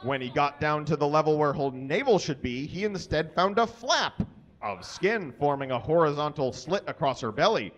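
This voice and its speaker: Higher-voiced man reading comically, muffled recording. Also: animated, moderate pace. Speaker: live reader. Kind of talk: comic dramatic reading of fan fiction to an audience. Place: small indoor stage. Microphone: stand microphone on stage, filmed from a distance. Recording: dull, muffled live stage recording.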